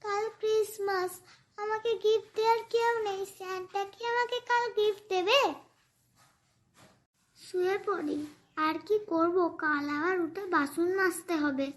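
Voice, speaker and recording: A performer voicing a sad, meek young orphan girl in a cartoon story, with a squeaky, childlike and plaintive delivery. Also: steady tone, a little slow. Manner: plaintive, submissive.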